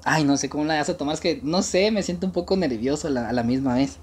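A man, speaking haltingly and coyly on a casual prank phone call. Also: fairly high pitch, a little mellow, slight background noise. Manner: hesitant, halting, coy. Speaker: prank caller and channel host. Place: small room indoors.

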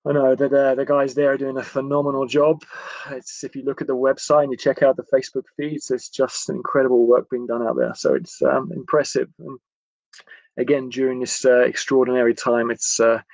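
English male coach, gravelly edge, chatty and hesitant, live to webcam in a dry small room. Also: mid-range voice, level tone, a little mellow, no echo, rough recording. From England. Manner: friendly, chatty, halting with frequent pauses. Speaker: football coach giving a livestream talk. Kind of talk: informal live talk to camera. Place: indoors, small attic room.

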